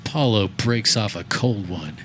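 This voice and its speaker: A man's voice: on the low side, measured pace, fairly bright tone. A man narrating a scripted comedy radio play, recorded live outdoors. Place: backyard, before a live audience. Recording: live outdoor recording, faint background noise.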